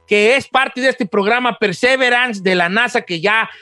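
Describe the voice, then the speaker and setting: A man's voice: very high-pitched, normal speed, sharp tone, smooth, no echo, studio quality. A man voicing a folksy old Mexican ranchero radio host who jokes and stammers, with a Mexican Spanish accent. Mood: folksy joking. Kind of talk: comedic radio chat.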